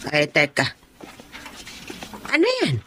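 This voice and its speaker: A woman's voice: deep voice, sing-song, slow pace, pushed and bright, a little hiss or hum, exaggerated voice. An actress playing a caring, older mother-in-law and grandmother in a radio drama, fussing and exclaiming.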